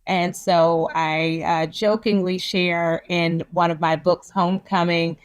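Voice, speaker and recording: Woman psychologist, interview guest, lower register, thoughtful and reflective. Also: level tone, slow pace, smooth. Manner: reflective personal storytelling. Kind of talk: conversational interview.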